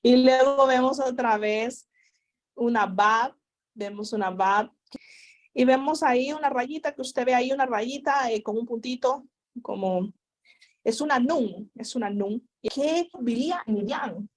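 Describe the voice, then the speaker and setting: A woman teaching a sermon over an internet call, repeating phrases and exhorting her listeners. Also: on the high side. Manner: slow, repetitive preaching with exhortations. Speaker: Bible teacher. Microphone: internet call connection.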